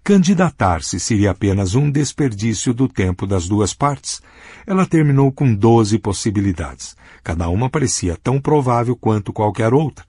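Husky low male audiobook narrator. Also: expressive, moderate pace, mellow, dry recording. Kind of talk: scripted narration.

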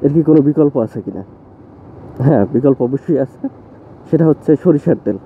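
A man's voice: on the high side, animated, fairly quick. A young man, talking casually to camera while riding a motorbike on rural roads, loose and opinionated. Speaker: motovlogger. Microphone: phone mounted on motorbike. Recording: thin phone-like sound, faint road noise.

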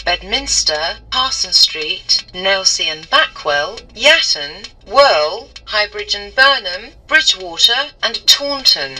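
A woman with a British accent making formal, measured station announcements, phrase by phrase. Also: mid-range voice, pushed and bright, breathy edge, distant mic, low-quality recording. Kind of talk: recorded public announcements.

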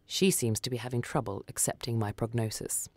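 A woman's voice: rumbling low, a little fast, darkish tone, dry recording. A woman reading a first-person short story for radio as scripted narration. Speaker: story reader.

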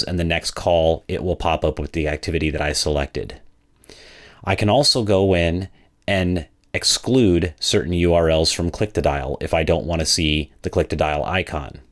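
A man narrating a product walkthrough as a software demo presenter, in a methodical, explanatory way. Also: low voice.

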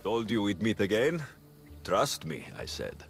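A rugged game hero with a low, expressive voice. His delivery is wry and understated, over faint music. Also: a little slow, gravelly, heavily acted. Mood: wry, fond. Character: rugged, wry adult male mercenary hero. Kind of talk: video game cutscene acting.

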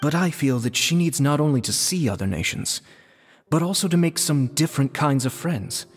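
An actor voicing a courteous, composed young nobleman in a video game, speaking in a formal, measured way. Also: on the high side, animated, in a large hall, soft background music. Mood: polite, calm.

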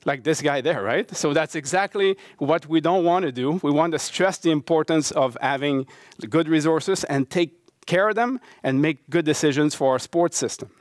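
A man's voice: fairly high pitch, moderate pace, studio quality. A middle-aged man from Quebec City with a French accent, giving a conference talk in a university lecture hall. He speaks earnestly, with many fillers and restarts. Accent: Québécois French. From Quebec City, Canada. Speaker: conference speaker, baseball coach and professor.

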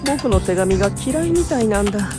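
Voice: mid-range voice, lively intonation, fairly quick, music in the background, natural voice. An actor playing a shy, lovesick young male poet in a Japanese audio drama, earnest and overdramatic. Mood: bashful. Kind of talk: Japanese anime-style audio drama acting.

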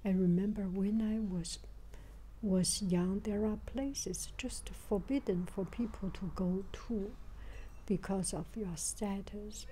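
An older woman giving a reflective travel vlog narration, haltingly, repeating phrases as she searches for words, in a breathy, whispery voice. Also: medium pitch, warm tone, a little hiss or hum, poor audio. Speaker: travel vlogger.

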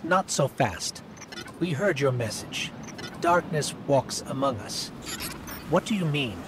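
An actor playing a small, wary robot companion in a video game, speaking in a crisp, earnest, questioning way. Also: male voice, fairly high pitch, lively intonation, slow, background noise. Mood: wary, demanding. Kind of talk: video game character acting. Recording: loud game music behind.

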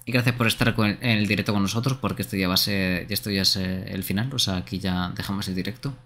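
A man's voice: low voice, fairly even intonation, quick pace, a bit bright. A man hosting a gaming livestream with casual, conversational chat. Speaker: streamer, host.

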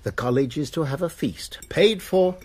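An actor playing an urbane college master who explains matters calmly in a radio drama. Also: male voice, on the high side, slight background noise, natural voice. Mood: explaining. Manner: measured, explanatory. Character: Master of a Cambridge college, urbane older man.

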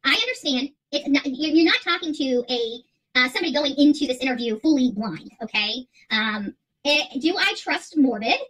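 A woman's voice: high voice, animated, normal speed, fairly bright tone, low-quality recording. A middle-aged woman chatting casually to livestream viewers as host, emphatic, repeating herself and rambling. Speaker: livestream creator and channel host. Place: small furnished room at home, indoors.

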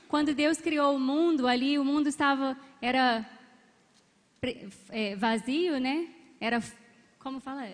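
A young woman speaks with a bright, high voice on a big arena stage, holding a handheld mic. She preaches emphatically and calls out amens and hallelujahs to the crowd. A long hall reverb trails her words. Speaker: couple's coach. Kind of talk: testimony and exhortation at a church conference.

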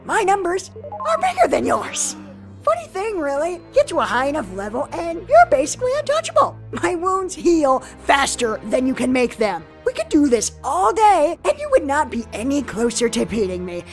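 A woman's voice: high voice, wildly swooping pitch, normal speed, soft background music, exaggerated voice. A performer, heard as a woman, playing a cocky, sarcastic teenage swordsman boy in an anime parody dub. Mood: smug, menacing. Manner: sarcastic, smug, taunting.

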